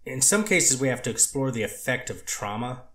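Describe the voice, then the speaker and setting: A man's voice: mid-range voice, animated, moderate pace, bright, forceful tone. A man with a mid-range voice gives testimony in an echoey courtroom. He answers carefully and measuredly, qualifying his statements and restarting to choose his words. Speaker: treatment clinician testifying as witness.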